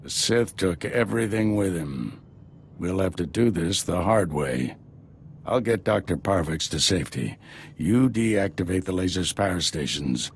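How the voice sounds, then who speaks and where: An actor playing a gruff, battle-worn Jedi master in a video game, with a gravelly voice and a dry, commanding delivery. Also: male voice, deep voice, lively intonation, a little slow, slight background noise. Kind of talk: video game cutscene acting.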